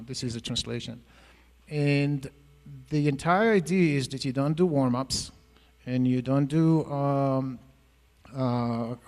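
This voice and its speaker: A man's voice: medium pitch, darkish tone, big-room sound. A middle-aged man with an Israeli accent, a Hebrew native speaker, speaking earnestly on an education panel, rambling with many fillers and restarts. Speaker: panelist, math education professor. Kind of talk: panel talk to an audience. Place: school auditorium.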